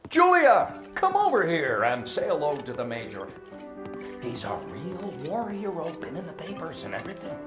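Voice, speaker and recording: A man's voice: fairly high pitch, huge pitch swings, normal speed, over music. A man acting a fussy, grumbling shop owner who fawns over guests in a video game. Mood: eager, hospitable. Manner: fawning to guests, scornful to his helper.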